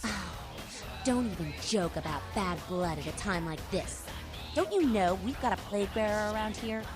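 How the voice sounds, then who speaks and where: A woman's voice: mid-range voice, expressive, moderate pace, music in the background, close to an everyday voice. An actress playing a hot-headed, combative female vampire gang leader in a video game, brash and sardonic. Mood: scornful, irritated. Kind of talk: video game character acting.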